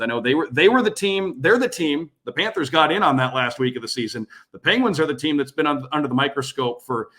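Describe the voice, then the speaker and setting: A man with an average-pitched speaking voice who calls games on the radio for a living. Here he is a guest on a casual sports podcast, laying out his analysis in long, easygoing stretches. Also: fairly bright tone. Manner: talks at length in long, analytical runs. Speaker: radio play-by-play broadcaster, guest.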